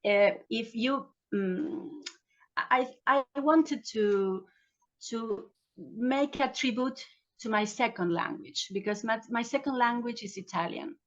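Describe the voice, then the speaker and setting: A woman poet whose first language is Spanish, reading her poems and talking haltingly over a phone, with frequent restarts. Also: a little high, dull audio. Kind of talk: poetry reading and round-table chat.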